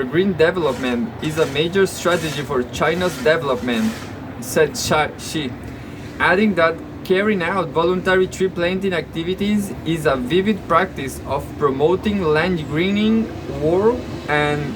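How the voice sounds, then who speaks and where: Guy reading news, kinda high voice, stumbles and repeats words, loud ac hum behind him. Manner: halting, repeats words, sarcastic asides. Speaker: news commentator. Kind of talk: reading news with off-the-cuff commentary.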